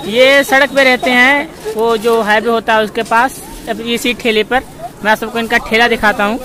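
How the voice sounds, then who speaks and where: A man's voice: very high voice, steady tone, normal speed. A young man walking through a village market with his phone, chatting to viewers and haggling with sellers. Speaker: village vlogger. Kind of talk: walking market vlog narration. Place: busy outdoor village market. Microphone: handheld phone camera. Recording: crowd noise of outdoor market.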